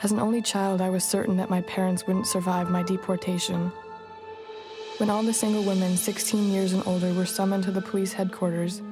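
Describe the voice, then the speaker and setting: A woman narrates a memoir in the first person from a script. Her pitch is average and moves very little, staying even throughout. Music is clearly audible beneath her.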